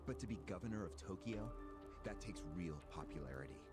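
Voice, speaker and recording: Smug calculating politics guy from a video game, really deep, explains stuff slowly n coolly, music behind. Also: animated. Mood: smug, explaining. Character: calculating, cynical male political operative recounting a grand scheme. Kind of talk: English video game voice acting.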